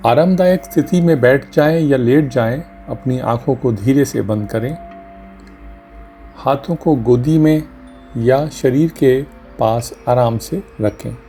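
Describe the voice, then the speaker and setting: A man leading a guided meditation as a doctor, speaking gently and calmly in short phrases with long pauses. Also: mid-range voice. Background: music under the voice.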